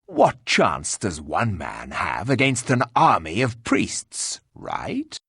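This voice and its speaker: Gruff game hero: husky, dry and dismissive, formal deadpan delivery with lively swings, very close dry sound. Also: male voice, sits low, slow pace, dry recording, full character voice. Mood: grim defiance. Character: gruff, sardonic, rude male warrior hero. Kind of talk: video game voice acting.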